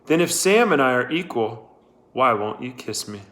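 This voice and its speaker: A man voicing a drunken, menacing small-town sheriff in a storybook, leering in a slow drawl. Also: mid-range voice, lively intonation, a bit bright, natural voice. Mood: taunting, menacing.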